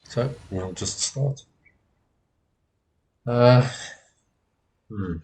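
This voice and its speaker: A man's voice: mid-range voice, drawn-out words, darkish tone, a little hiss or hum. A man streaming a video game, commenting casually to himself, often repeating short phrases and swearing mildly. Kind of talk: casual live gameplay commentary, talking to himself. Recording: game music behind the voice. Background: video game music.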